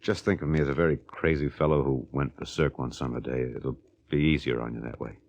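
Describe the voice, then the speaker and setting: Casting: doomed astronaut renegade, extremely deep, quiet, slow, resigned, vintage 1950 radio sound. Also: male voice, steady tone, gravelly, no room sound, muffled recording. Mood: calm resignation. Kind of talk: 1950s radio drama acting.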